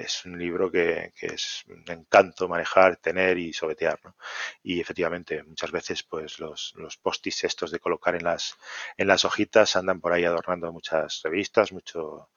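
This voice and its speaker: Guest: deep, gravelly middle-aged man, rambling and self-correcting, dull dry sound. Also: fairly even intonation, moderate pace, no echo. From Venezuela. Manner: rambling, self-correcting, enthusiastic about old tech. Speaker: podcast guest. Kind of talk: casual interview chat.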